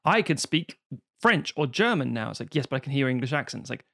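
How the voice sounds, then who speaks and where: A man's voice: mid-range voice, fairly quick, gravelly. A man co-hosting a podcast, ranting in casual chat and swearing freely. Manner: swears freely, animated rants. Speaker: podcast co-host.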